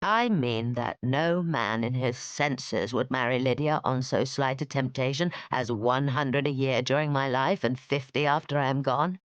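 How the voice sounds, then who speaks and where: A woman's voice: a bass voice, unhurried, hardly any pauses, dry recording, muffled recording. A woman reading as a dry, sardonic older father. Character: wry, weary older father.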